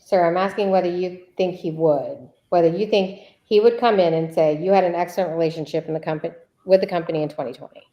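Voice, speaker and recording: A woman acts out a female attorney in a deposition, with a slightly low voice. She presses insistently, repeating her questions deliberately and needling the witness as she reads from a transcript. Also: lo-fi sound, natural voice. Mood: insistent, exasperated. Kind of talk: read-aloud deposition transcript.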